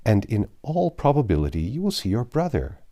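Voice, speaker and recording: A man reading aloud a fond, affectionate mother who talks in a brisk, coaxing way. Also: mid-range voice, huge pitch swings, mellow, voice pushed up. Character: warm, fond older mother, woman.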